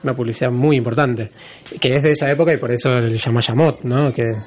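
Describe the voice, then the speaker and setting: A man's voice: medium pitch, steady tone, fast. Male guest, Argentine accent, explanatory and hesitant, casual delivery. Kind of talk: casual conversation.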